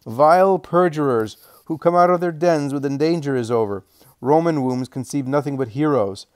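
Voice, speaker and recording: Shrill jeering tavern woman, as a man puts her on. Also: normal speed, smooth, dry recording, clean studio sound, close to an everyday voice. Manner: jeering, shouting. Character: shrill coarse female tavern keeper, scornful.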